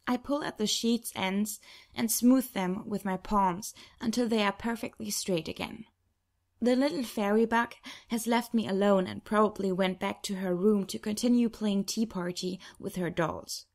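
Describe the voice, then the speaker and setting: Audiobook narrator, woman, average pitch, expressive first-person storytelling from a script. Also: moderate pace. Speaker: audiobook reader.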